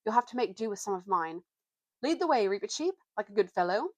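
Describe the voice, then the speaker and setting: A courteous young king from a storybook, read by a woman in a lightly acted voice. He is brisk, polite and hospitable, at a medium pitch in a dry recording. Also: expressive, a little mellow, no echo, lightly acted. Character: hospitable, considerate young male king aboard ship.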